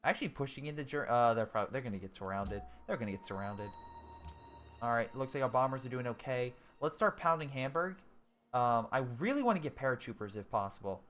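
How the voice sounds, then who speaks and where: A young man in his twenties casually commentating a strategy game he is playing, thinking out loud with many ums and restarts while answering viewers' chat. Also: medium pitch, expressive, brisk, soft background music. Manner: thinking aloud, frequent ums and self-corrections. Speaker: gaming YouTuber. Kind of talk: casual let's-play commentary, reading chat.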